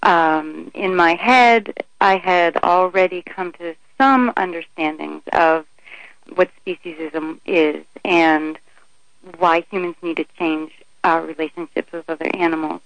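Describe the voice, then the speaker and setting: A woman being interviewed on talk radio as the co-founder of a sanctuary, answering thoughtfully with frequent ums and pauses. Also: fairly low pitch, no room sound, rough recording. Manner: thoughtful, hesitant with many ums. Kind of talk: radio interview over the phone.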